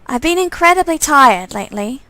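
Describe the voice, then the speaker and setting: A woman's voice: high-pitched, animated, a little fast, pushed and bright, no room sound. A woman voicing the young heroine of a visual novel, with a questioning, wry and plaintive way of talking. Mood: weary, worried.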